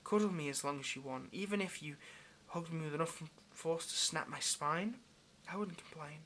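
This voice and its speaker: A man's voice: fairly high pitch, moderate pace, a bit bright, a little hiss or hum, lightly acted. A man playing a lonely, clingy yandere demon in an intimate audio roleplay, speaking tenderly and hesitantly straight to the listener with obsessive devotion. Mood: devoted, yearning.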